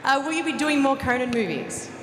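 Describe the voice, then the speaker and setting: High-voiced woman in a noisy hall. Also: very animated, fairly quick. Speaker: fan asking a question. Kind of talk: eager, casual audience question. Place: large convention hall.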